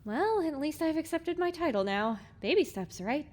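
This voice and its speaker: A woman playing a spirited, earnest young mare heroine with an expressive, wry delivery in an audiobook drama. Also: high voice, moderate pace.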